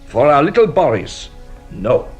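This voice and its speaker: Detective in a radio play, super animated pitch, polite but teasing and sly, bit of music. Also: male voice. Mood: playful, teasing. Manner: courtly, teasing, deliberate questioning. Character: theatrical, playfully sly French police inspector. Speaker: actor in a radio mystery drama. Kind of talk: British radio drama acting.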